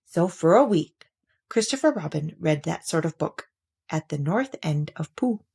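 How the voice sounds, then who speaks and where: A woman reading a children's story aloud in an expressive narrating style. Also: low voice, a little slow, creaky, husky. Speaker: story reader.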